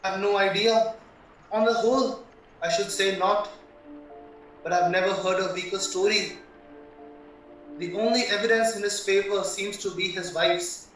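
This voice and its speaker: An actor as a shrewd, worldly barrister in a radio drama. He speaks bluntly in a high, bright male voice, a little distant in a very echoey room. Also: steady tone, normal speed, long pauses, soft background music. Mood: sceptical. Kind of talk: stage-style radio play acting.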